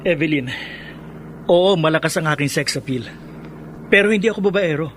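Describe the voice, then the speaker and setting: A man's voice: a little high, fairly quick, fairly bright tone, slight background noise, lightly acted. A man acting in a Filipino radio drama as a vain, laid-back young adult. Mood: cocky, teasing.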